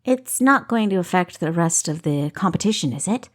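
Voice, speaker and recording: A woman's voice: lowish voice, lively intonation, moderate pace. A woman voicing a stubborn young female thief in a fantasy audiobook, guarded and defensive in manner.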